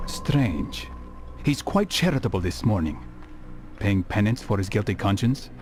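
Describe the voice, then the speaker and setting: An actor playing a sober, earnest male assassin in a video game, speaking in a measured, formal way. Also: lowish voice, very animated, over music. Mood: wry. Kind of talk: dramatic video game acting.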